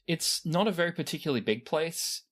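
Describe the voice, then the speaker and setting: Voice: medium pitch, expressive, brisk. A game master improvising narration for a tabletop role-playing game in a conversational way.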